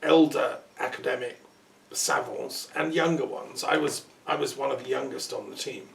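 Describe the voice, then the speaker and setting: A man hosting a relaxed interview, talking in a thoughtful, rambling way with asides. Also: medium pitch, normal speed, creaky. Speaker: host. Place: peace museum building. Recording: roomy sound from a distance, faint noise.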